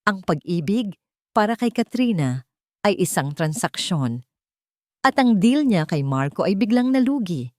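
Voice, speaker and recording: A woman with a Filipino accent narrating a romance story in a measured, dramatic storytelling manner. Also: mid-range voice, sing-song, darkish tone, dry recording, studio quality.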